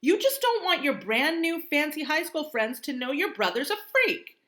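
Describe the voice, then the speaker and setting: A woman reading a storybook puts on an upset young boy who shrieks his accusations in a high, shrill voice. His tone is bright and sharp, and the lines come out loud and accusing. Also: big pitch swings, normal speed. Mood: shrieking. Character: angry hurt young boy shouting at family.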